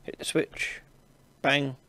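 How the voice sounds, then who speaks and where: A man hosting an informal software tutorial, casually explaining each step as he demonstrates it on a close mic. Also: slow. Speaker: tutorial host. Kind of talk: informal screen-recorded software tutorial narration.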